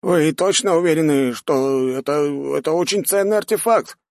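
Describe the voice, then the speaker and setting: A man's voice: on the high side, normal speed, no echo, clean studio sound, voice pushed up, natural voice. A man voicing a deferential, easily startled old male mage in a fantasy audiobook.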